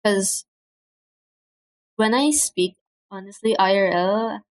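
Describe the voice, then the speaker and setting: A young woman from the Philippines, soft-spoken and hesitant, chatting casually on a home livestream through a cheap mic. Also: medium pitch, slow, rough recording. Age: young adult. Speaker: streamer. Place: home room. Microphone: cheap desk mic.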